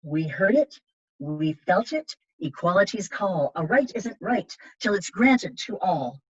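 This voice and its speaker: A woman reading picture books aloud as a library storytime reader, with measured, emphatic storytelling. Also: medium pitch, very animated, slightly rough, muffled recording. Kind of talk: expressive read-aloud of children's picture books.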